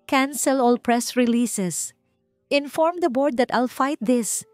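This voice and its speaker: A woman voicing a desperate male CEO barking orders into a phone, slow and tense. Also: high-pitched, dry recording, soft background music, pitched above the performer's own voice.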